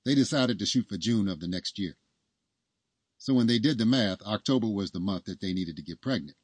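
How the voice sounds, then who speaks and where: A man's voice: lowish voice, lively intonation, brisk, breathy edge, dry recording. A man reading a scripted story as its narrator.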